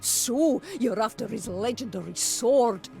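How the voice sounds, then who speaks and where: Creaky, teasing magical advisor. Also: female voice, fairly high pitch, unhurried, mellow, faint music behind. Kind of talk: video game character acting.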